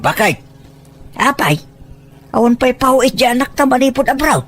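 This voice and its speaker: A high-pitched Filipino-accented older parent acted by a woman in an audio drama. The figure is boastful and money-minded, pressing others with exclamations and pointed questions. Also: expressive, moderate pace, fairly bright tone, soft background music. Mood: nagging. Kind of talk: Ilocano audio drama acting.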